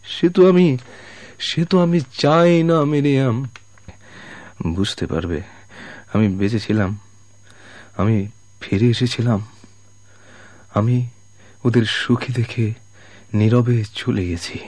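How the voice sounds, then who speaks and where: An actor playing a broken, dying sailor who has returned home, gentle and self-sacrificing, in tragic radio drama style with halting, pleading, emotional delivery. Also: male voice, mid-range voice, animated, no room sound. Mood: dying plea.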